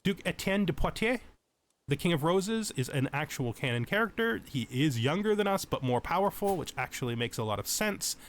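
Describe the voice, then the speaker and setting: A man with a voice pitched a little higher than average hosts a let's play. He moves between explanatory commentary and measured readings of lore, told in a storyteller's fashion. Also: lively intonation. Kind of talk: tutorial game commentary mixed with reading lore text aloud.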